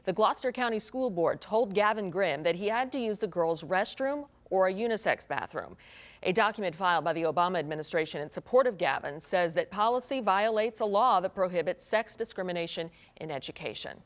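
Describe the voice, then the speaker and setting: A woman's voice: on the low side, lively intonation, fairly quick. A woman with an American accent reading scripted news copy as a television anchor.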